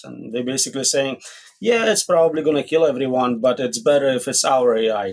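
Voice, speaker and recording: A man speaks as the expert guest in a conversational interview, at a middling pitch. He explains things in short, plain statements and leans on simple examples. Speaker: interview guest, AI safety researcher.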